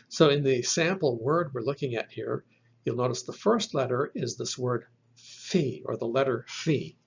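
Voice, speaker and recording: A man lectures at an unhurried, deliberate pace, his voice gravelly and a little husky. He spells out letters and repeats each sound twice so students can follow. The recording is dull and lo-fi. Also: medium pitch. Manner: slow, methodical, repeats each sound for the listener. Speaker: Greek language teacher.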